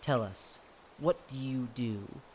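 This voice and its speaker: A performer voicing a commanding, gracious fae monarch in a formal, measured radio-play manner. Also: a little mellow, slight background noise, natural voice. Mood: grave, courteous. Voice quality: deep.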